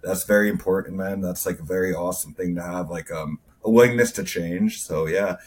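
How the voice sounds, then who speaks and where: Low, husky man chatting casually. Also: fairly quick. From Calgary, Canada. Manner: informal, hesitant, says "man" often. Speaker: roundtable guest, IT technician. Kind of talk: casual chat, self-introduction.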